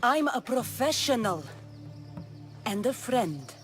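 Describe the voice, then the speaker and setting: An actress playing a confident, dryly challenging young ancient Greek mercenary in a video game. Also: female voice, on the high side, sing-song, slow pace, faint music behind. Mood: assured. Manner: cool, dry, challenging.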